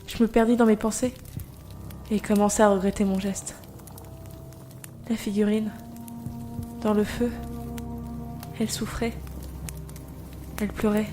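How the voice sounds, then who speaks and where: An actress playing an anxious young mother, telling an eerie tale in measured first-person narration for an audio drama. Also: mid-range voice, little pitch movement, over music, natural voice. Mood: fearful.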